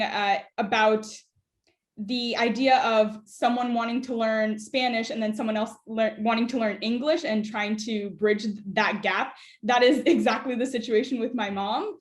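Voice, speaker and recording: A woman's voice: fairly high pitch, echoey room. A young woman, a student guest in an interview, talks in a thoughtful way with many "uh" and "um" fillers. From United States. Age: young adult. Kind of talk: conversational interview.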